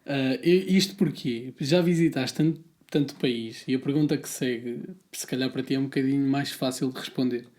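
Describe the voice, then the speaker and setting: Man, laid-back podcast host, warm dark tone, loose rambling delivery. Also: mid-range voice, moderate pace, hardly any pauses. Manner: relaxed, rambling, with filler words. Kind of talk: casual conversational interview.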